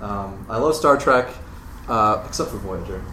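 A man's voice: fairly low pitch, expressive, moderate pace, bright, forceful tone. A man with a Midwestern American accent telling a casual, self-deprecating comic story live. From Europe, Britain, moved to the States in seventh grade. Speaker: guest storyteller. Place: live event room with audience. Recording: echoey live room, distant mic, noisy.

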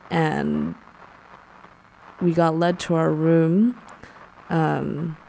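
Woman acting a blunt horror-loving storyteller, deadpan, very slow, creaky voice, audio drama. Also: low voice, slight background noise, heavily acted, poor audio. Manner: deadpan, halting. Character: blunt, deadpan woman fond of spooky things.

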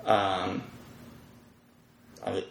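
Low-voiced man explaining in an echoey room. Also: little pitch movement, drawn-out words, creaky. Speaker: podcast guest, web developer. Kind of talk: casual explanatory chat. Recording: echoey room with faint background noise.

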